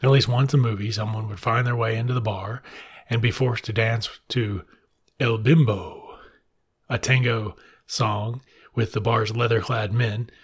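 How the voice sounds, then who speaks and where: Husky, irreverent American man. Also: fairly low pitch, steady tone, measured pace. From Mississippi, United States. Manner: irreverent, sardonic, profane. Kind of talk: comic monologue commentary.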